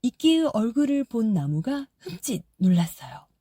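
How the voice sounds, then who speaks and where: A woman narrating a fairy tale audiobook in a soft, gentle storytelling manner. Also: a little high, very animated, moderate pace, breathy edge, dry recording, clean studio sound.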